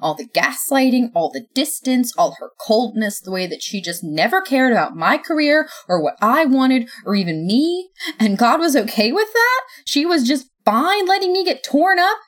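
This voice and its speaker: A woman voicing a tall, brash young woman yelling in screechy, angry bursts. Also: mid-range voice, very animated, normal speed, pitched above the performer's own voice. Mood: bitter.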